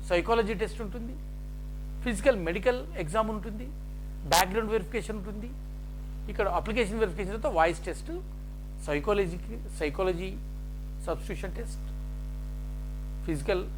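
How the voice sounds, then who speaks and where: A man presenting job information point by point in halting, list-like phrases, mixing in English terms. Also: sits high. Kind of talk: explaining a job notification point by point. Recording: noisy with steady mains hum.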